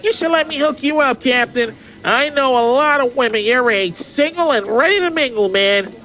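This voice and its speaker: A man's voice: extremely high pitch, normal speed, bright, forceful tone. A man playing a cheeky junior police officer who teases his boss in an audio drama. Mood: teasing. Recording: faint music behind the voice.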